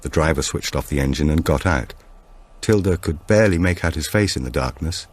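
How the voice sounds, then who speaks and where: A man reading a suspense thriller as an audiobook narrator, in a measured, scripted way. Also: very deep voice, lively intonation. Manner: measured, acts out dialogue.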